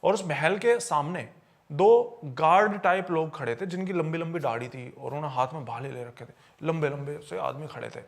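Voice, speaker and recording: A man's voice: fairly high pitch, moderate pace, vocal fry. A man telling paranormal tales in a conversational podcast style, often repeating and rephrasing phrases for emphasis. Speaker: podcast storyteller.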